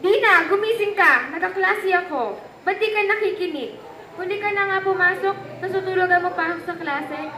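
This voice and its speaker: Woman playing a shrill strict schoolteacher, very high. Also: moderate pace, sharp tone, some chatter behind. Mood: scolding. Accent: Filipino. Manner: scolding, questioning. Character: scolding female schoolteacher, strict and concerned. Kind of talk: amateur student puppet show acting. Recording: echoey room recording with background noise.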